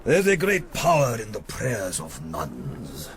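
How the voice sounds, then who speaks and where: An actor playing a resolute Spanish general, grave, measured and courteous, in a radio historical drama. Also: male voice, on the low side, huge pitch swings, noisy background, full character voice.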